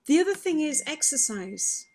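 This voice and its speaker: A woman's voice: high-pitched, sing-song, normal speed, darkish tone, a little hiss or hum. A woman who is a vocal health expert, explaining singing advice in a chatty way during an online question session. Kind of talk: online forum Q&A.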